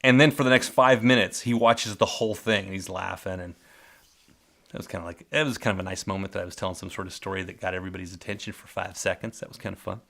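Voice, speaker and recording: Man, middle-aged, loud voice, slightly low pitch, casual rambling memories, restarts his sentences. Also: fairly even intonation, fast talker, creaky. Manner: rambling, self-correcting, warmly nostalgic storyteller. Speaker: vlogger telling work stories. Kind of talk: casual spoken memoir. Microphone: close mic.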